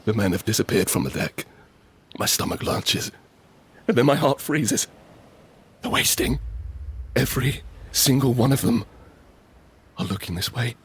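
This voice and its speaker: An actor playing a frightened survivor in an audio drama, narrating urgently in the first person and present tense in fragmented phrases. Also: male voice, medium pitch, animated, measured pace, pauses often, slightly rough. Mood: tense, horrified. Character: desperate, frightened male survivor of a monster apocalypse. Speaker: narrator and lead character.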